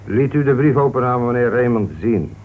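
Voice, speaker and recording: A man playing a courteous, methodical police inspector in a Dutch radio play, musing calmly with small polite interjections. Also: medium pitch, brisk, natural voice. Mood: courteous questioning. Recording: old radio recording, narrow tinny band, hiss.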